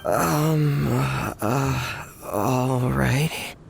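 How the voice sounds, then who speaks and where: A man's voice: mid-range voice, creaky, a little hiss or hum, heavily acted. A man voicing a timid, hesitant young man in a fantasy game. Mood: nervous. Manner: hesitant, halting. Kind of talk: animated fantasy game acting.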